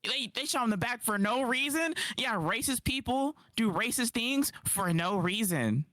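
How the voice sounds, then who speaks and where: A man doing casual livestream reaction commentary, incredulous and quick to rebut, on a close mic. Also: very high-pitched, no room sound. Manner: animated, incredulous, rapid rebuttals.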